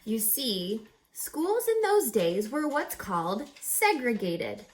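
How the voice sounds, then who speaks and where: A woman reading a rhyming children's picture book aloud as a teacher, with theatrical, deliberate, emphatic phrasing. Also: on the high side, sing-song. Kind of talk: expressive children's read-aloud of rhyming verse.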